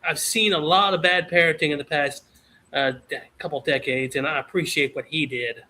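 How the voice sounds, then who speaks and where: A man co-hosting a livestream, chatting casually in a halting way and repeating words as he goes. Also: fairly high pitch, steady tone, pushed and bright, rough recording. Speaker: livestream co-host. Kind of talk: casual reminiscing chat.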